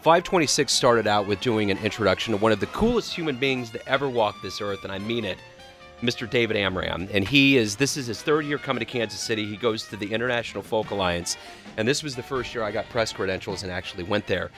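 A man's voice: lowish voice, fast talker, fairly bright tone, faint music behind. A man hosting a radio show, chatting casually and enthusiastically, rambling and restarting his sentences.

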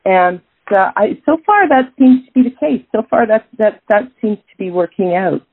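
Canadian jazz singer lady, middle-aged, husky, lots of ums and restarts, phone-ish audio. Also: mid-range voice, lively intonation, moderate pace, dry recording. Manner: thoughtful, many restarts and fillers. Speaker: jazz singer, interview guest. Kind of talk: casual interview answers. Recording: tinny phone-like line.

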